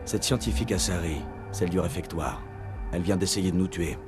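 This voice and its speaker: A man's voice: deep voice, a little fast, lightly acted. A man playing a terse, commanding military officer in a dubbed video game. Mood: businesslike. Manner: terse, businesslike. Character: steady commanding male military officer, interrogating. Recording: music behind the voice.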